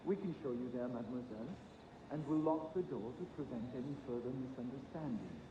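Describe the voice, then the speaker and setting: An actor playing a stiff, officious guard in a video game scene, formal and wearily patient, heard from a distance in a room. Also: male voice, mid-range voice, a little fast, muffled recording, lightly acted. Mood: weary. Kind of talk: video game cutscene acting. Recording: echoey, distant, noisy room.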